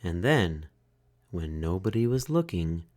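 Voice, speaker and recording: Slow, expressive male storybook narrator. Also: low voice. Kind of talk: children's read-aloud narration.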